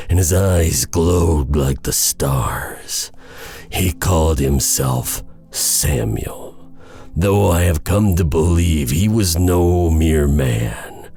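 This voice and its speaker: A man playing a doomed, remorseful werewolf in a storybook tale, confessing in a halting, haunted and bitter way. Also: rumbling low, creaky, a little mellow, heavily acted.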